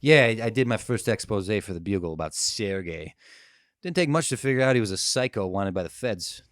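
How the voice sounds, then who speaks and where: An earnest, slightly cocky newsman played by a man talks casually in a drama script. His voice is on the low side and recorded dry. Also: animated, fairly quick, fairly bright tone, no echo. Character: earnest, cocky male news reporter turned hero. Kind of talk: dramatic table read acting.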